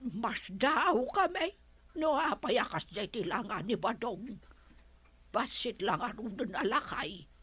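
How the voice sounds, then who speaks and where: A woman's voice: on the high side, lively intonation, a little slow, a bit bright, breathy edge, exaggerated voice. A woman acting a gossipy, teasing villager who angles for snacks in an Ilocano radio comedy, speaking with an Ilocano accent.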